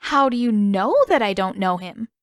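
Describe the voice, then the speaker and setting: A woman's voice: mid-range voice, lively intonation, normal speed, no echo, studio quality, lightly acted. A woman voicing a cheeky, nosy young girl in a story, teasing and needling in a sing-song way. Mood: nosy, challenging.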